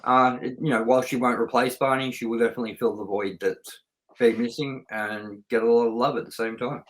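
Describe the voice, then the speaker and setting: A man's voice: mid-range voice, fairly even intonation, brisk, poor audio. Chatty middle-aged man hosting casually. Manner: chatty, rambling, anecdotal. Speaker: host of a film review show. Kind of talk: casual unscripted review chat.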